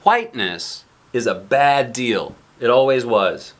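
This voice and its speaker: A man with a medium-pitched voice reads a children's book aloud. He is expressive, leaning on important lines, and slips into casual explanations for young listeners. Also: slow. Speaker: picture book reader, dad.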